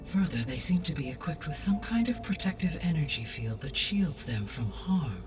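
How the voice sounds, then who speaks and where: An actor playing a calm, benevolent, erudite artificial intelligence in a video game, speaking with measured, precise, formal diction. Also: male voice, a little high, distant mic, music in the background, lightly acted. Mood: measured, explanatory. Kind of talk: scripted video game dialogue.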